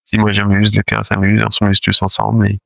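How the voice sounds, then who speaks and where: A man's voice: lowish voice, monotone, fairly quick, vocal fry, no echo, lo-fi sound. A man who speaks French as his second language, a choir director talking in a casual interview, hesitant with many fillers and restarts. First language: not French; French is his second language.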